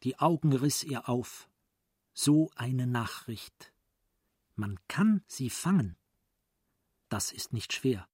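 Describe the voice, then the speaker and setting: A man narrating a radio play as a literary storyteller, in a deliberate, dramatic manner. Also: mid-range voice, animated, darkish tone, husky, no room sound, studio quality.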